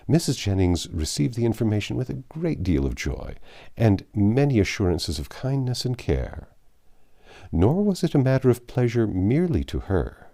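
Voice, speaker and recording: Male narrator, deep and mellow, expressive measured audiobook reading. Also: vocal fry. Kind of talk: reading a classic novel aloud.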